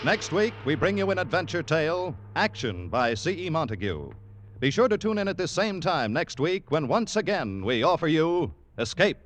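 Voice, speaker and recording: A man's voice: fairly high pitch, very animated, moderate pace. A man announcing in a 1940s radio style on an old broadcast recording.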